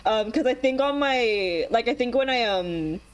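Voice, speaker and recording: A woman's voice: a little high, animated, unhurried. A young woman telling a long story in casual chat with friends, rambling with lots of ums and laughing as she goes. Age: young adult. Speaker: podcast co-host.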